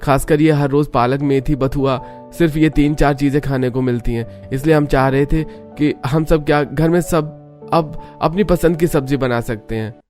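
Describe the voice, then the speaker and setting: A man's voice: on the high side, fairly even intonation, moderate pace, darkish tone, soft background music, close to an everyday voice. A man voicing a fed-up grown son. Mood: insistent. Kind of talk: cartoon voice acting.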